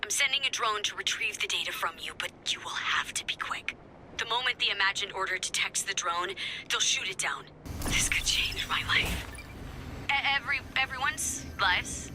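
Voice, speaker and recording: A woman's voice: medium pitch, lively intonation, normal speed, background noise, lightly acted. A woman voicing a flippant, impatient resistance member in a video game, with sarcastic, chatty asides. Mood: urgent, wry. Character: flippant, impatient female resistance member scheming behind her leader's back. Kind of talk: animated video game voice acting.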